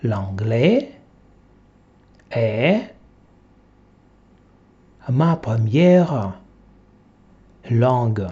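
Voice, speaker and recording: A male language teacher reads a textbook dialogue aloud in short, separate phrases, leaving long pauses for students to repeat. His delivery is very slow, with an animated, sing-song pitch. The recording sounds dull and lo-fi. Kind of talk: slow dictation-style reading for repetition.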